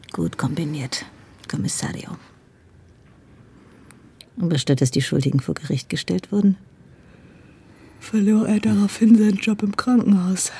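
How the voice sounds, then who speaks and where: A commissario's well-informed wife in a radio play. Her voice is very husky and breathy with a creaky edge, and she confides in a dry, natural way. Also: deep voice, dark tone, exaggerated voice. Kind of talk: naturalistic radio drama dialogue.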